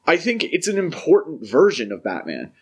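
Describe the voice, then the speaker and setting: A man's voice: fairly high pitch, wildly swooping pitch, normal speed, a little mellow, breathy edge. A man co-hosting a podcast in casual film discussion, talking conversationally and peppering sentences with "like" and "you know". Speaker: podcast co-host.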